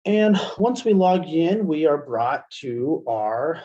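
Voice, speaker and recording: A middle-aged man presenting a software tutorial webinar in a halting, explanatory way, recorded on a headset microphone. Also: fairly high pitch, very animated. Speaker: webinar presenter, college media specialist. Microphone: headset microphone on video call. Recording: dull, lo-fi webinar headset audio.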